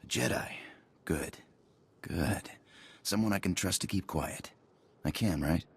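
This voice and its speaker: A furtive, paranoid spy from a video game. His voice is extremely deep and thick with rasp. He speaks in clipped, secretive phrases. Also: measured pace, heavily acted. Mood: secretive, urgent. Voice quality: very gravelly, raspy. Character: furtive paranoid male spy agent, conspiratorial.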